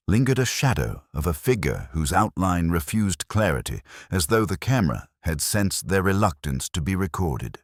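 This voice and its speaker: A man giving a measured, formal, reflective first-person narration of a literary detective story. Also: deep voice, dry recording, studio quality.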